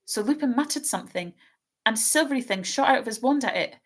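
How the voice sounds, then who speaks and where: A woman reading aloud and voicing an earnest, anxious schoolgirl who tells things in a rushed, breathless way. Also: on the high side, expressive, pitched above the performer's own voice.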